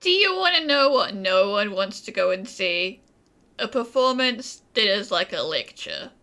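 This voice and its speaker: A woman's voice: mid-range voice, sing-song, a little slow, sharp tone, full character voice. An actress playing a blunt, defiant woman in a video game debate, pointed and assertive. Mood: sarcastic, challenging.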